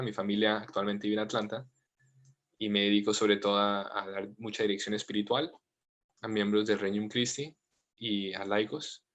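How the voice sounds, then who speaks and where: Gentle man with a mixed accent. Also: lowish voice. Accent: mixed Latin American and Spanish. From United States, family from Colombia. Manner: gentle, informal, with frequent fillers. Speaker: priest. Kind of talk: prayer and talk over video call. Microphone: video call.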